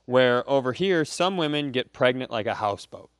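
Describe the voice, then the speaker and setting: A man's voice: mid-range voice, lively intonation, normal speed, dry recording, studio quality. A man co-hosting a comedy podcast, telling stories casually in a joking, profane, rambling way. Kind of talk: casual comedic storytelling chat.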